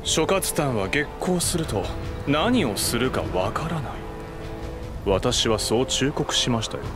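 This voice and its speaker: A man acting a haughty young military officer in a Japanese video game, condescending and sarcastic. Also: medium pitch. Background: background music.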